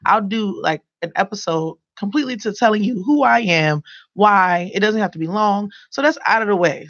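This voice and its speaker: A young woman with a mellow voice, a guest in a small radio studio giving loose, rambling advice full of fillers on a desk microphone. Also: lowish voice, dry recording, muffled recording. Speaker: guest, radio and podcast producer. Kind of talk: casual advice in a studio interview.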